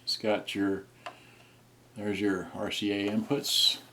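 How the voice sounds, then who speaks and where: Low-voiced man rambling, far from the mic. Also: little pitch movement, bright, forceful tone. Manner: halting, rambling, often trailing off. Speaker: amateur gadget reviewer. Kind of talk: casual unscripted unboxing and review. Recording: distant mic with clear noise and clicks.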